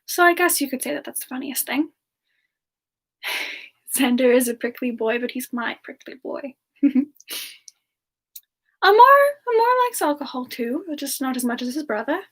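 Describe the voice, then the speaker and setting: A woman playing a playful, affectionate and coy female demon siren, speaking softly and hesitantly in a semi-ASMR question-and-answer style. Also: sits high, lively intonation, normal speed, long pauses, slightly rough, full character voice. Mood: fond, amused. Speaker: host answering audience questions in character.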